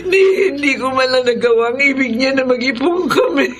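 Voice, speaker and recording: A woman's voice: high-pitched, brisk, soft background music, close to an everyday voice. A woman playing a grief-stricken, despairing young widow and mother in a Filipino radio drama, sobbing as she speaks with a Filipino accent. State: crying, sobbing.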